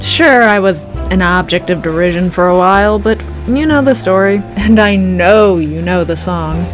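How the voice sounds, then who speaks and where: A woman's voice: fairly low pitch, moderate pace, lightly acted, low-quality recording. The fed-up reindeer on the last bar stool of a radio comedy, griping drily over music, acted by a woman. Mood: bitter, dry. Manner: world-weary, griping, dryly pedantic. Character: jaded, tipsy, grumbling female-voiced reindeer drinking at a bar. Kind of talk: comedic audio play acting.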